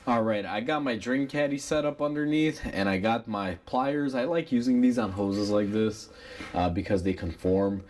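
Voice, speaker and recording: A man with a cold and a raspy voice, talking casually through a repair step by step with plenty of fillers. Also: on the low side, normal speed. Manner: relaxed, rambling with many uh fillers. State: has a cold. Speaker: mechanic demonstrating a repair. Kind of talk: casual how-to tutorial narration.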